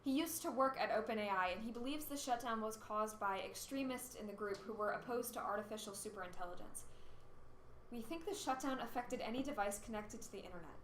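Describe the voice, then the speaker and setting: A woman's voice: fairly high pitch, brisk, lightly acted. A woman playing an anxious but determined radio host during a disaster, in a radio play. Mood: urgent, informative. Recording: roomy echo with faint noise.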